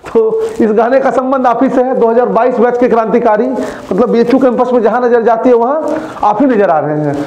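High-voiced chatty male coaching teacher. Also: fairly quick, darkish tone, reverberant, dull audio. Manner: chatty, addresses students directly. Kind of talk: casual announcement to students. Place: indoor studio in front of a screen.

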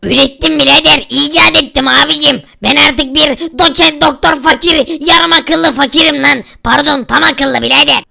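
A man's voice: very high voice, fairly even intonation, normal speed, gravelly, hardly any pauses, pushed and bright, full character voice. A man voicing a goofy, scheming male inventor friend in a game video, chummy and giggly, calling his pal brother. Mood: teasing. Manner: chummy, says "birader" constantly, giggly.

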